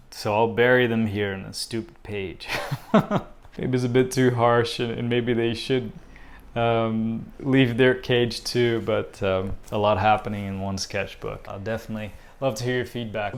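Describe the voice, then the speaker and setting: A man whose first language is Portuguese, giving a casual vlog update about his art, hesitant and self-deprecating with frequent fillers. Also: medium pitch. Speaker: artist giving a channel update.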